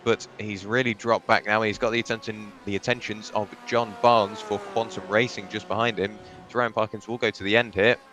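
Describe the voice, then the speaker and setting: A man co-commentating a live sim race with steady, analytical play-by-play. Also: lowish voice, a little fast, few pauses, slight background noise.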